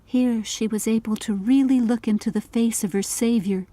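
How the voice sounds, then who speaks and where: A woman narrating a scripted audiobook story in a measured, deliberate, literary manner. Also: fairly high pitch, fairly even intonation, warm tone, no echo.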